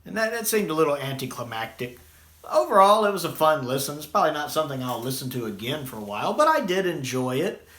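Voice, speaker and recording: A man's voice: on the high side, sing-song, quick pace, fairly bright tone. A man giving a casual, unscripted review in a rambling, conversational way. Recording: echoey room sound.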